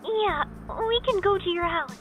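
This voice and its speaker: A woman's voice: very high-pitched, expressive, normal speed. A woman playing a shy, anxious young female friend in a visual novel game, speaking in a timid, hesitant way. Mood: nervous, hesitant. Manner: hesitant, timid, soft-spoken. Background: music.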